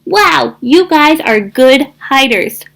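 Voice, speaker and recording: A woman voicing a bossy, boastful young female duck in a storybook, excitable and commanding. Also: high-pitched, takes it slowly, vocal fry, full character voice. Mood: excited.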